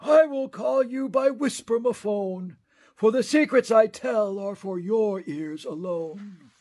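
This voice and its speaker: A man's voice: sits high, slow, a little mellow, voice pushed up. A man voicing a secretive, greedy old hermit who boasts and tells storybook tales in rhyme. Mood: secretive, wistful. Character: secretive, greedy old hermit recounting his past, excitable.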